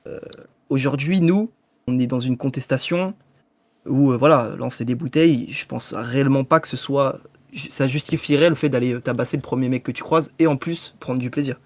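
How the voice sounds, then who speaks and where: A man's voice: mid-range voice, a little fast, no room sound. A man speaking as a protester in a debate, earnest and argumentative as he presses his point.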